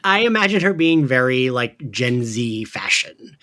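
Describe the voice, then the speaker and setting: A man's voice: medium pitch, sing-song, unhurried, pushed and bright. A man hosting a podcast in casual chat, informal and sweary, prone to giggling. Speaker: podcast host.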